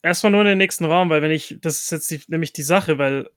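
A man with a slightly high voice plays at a tabletop game. He talks casually and thinks out loud as he works through his moves, dropping in English game terms. The sound is dry and close, without room echo. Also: quick pace.

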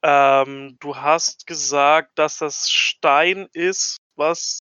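A man's voice: a little high, steady tone, very slow, bright, forceful tone, smooth, no room sound. A man chatting casually as a player in a tabletop role-playing game, on a slightly dull recording.

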